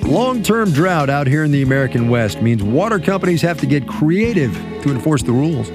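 A man's voice: a little high, big pitch swings, a little fast. Upbeat male radio promo over music. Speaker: business news host.

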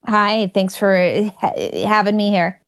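A woman in her forties speaking as an interview guest. She stutters, repeating first sounds and blocking on words. She talks candidly with quick asides. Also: medium pitch, animated. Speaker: comedian and author, guest. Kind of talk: interview conversation.

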